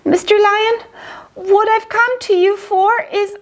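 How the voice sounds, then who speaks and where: A woman voicing a small, polite, eager boy mouse with a squeaky voice in a storybook read-aloud. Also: steady tone, slow pace. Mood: nervous, polite. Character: tiny timid but brave, friendly male mouse.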